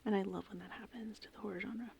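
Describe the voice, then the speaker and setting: A woman rambling casually and informally in an ASMR style, with a soft, breathy voice that often slips into a whisper. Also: medium pitch, fast talker. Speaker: ASMR host. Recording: steady rain and thunder ambience. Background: gentle rain and thunderstorm sounds.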